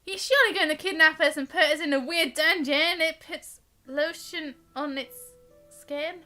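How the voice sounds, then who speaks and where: A woman's voice: sits high, moderate pace, pushed and bright. A woman livestreaming a game, commenting casually in a giggly, playful way. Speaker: live game streamer. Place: indoors, streaming over game audio.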